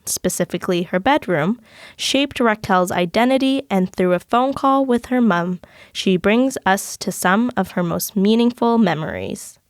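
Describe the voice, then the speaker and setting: A young woman, a student host, reading a scripted podcast introduction in a measured way. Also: mid-range voice, no echo, studio quality. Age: young adult.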